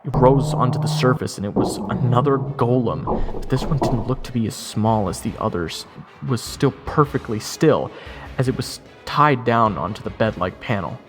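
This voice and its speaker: A man's voice: mid-range voice, moderate pace, music in the background. A man giving scripted first-person narration of a fantasy story.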